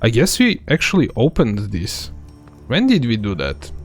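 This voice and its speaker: A man's voice: medium pitch, moderate pace, soft background music. A young man from Serbia with a light Serbian accent, giving rambling live game commentary full of fillers. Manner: relaxed, rambling, many fillers. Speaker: game streamer.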